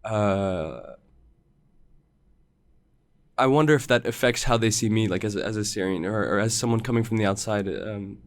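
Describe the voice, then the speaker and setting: Smooth-voiced man musing thoughtfully. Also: on the low side, no room sound. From United States. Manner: thoughtful, halting, many restarts. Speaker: podcast guest, filmmaker. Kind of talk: reflective casual conversation.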